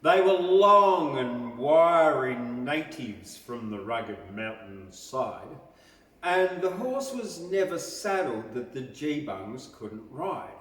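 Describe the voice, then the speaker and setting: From some way off in a hall with long reverb, a man recites a bush ballad. He takes his time over the verse, delivering it slowly and with emphasis like a storyteller. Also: medium pitch, very animated, a bit bright. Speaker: poetry reader. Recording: echoey hall, distant mic.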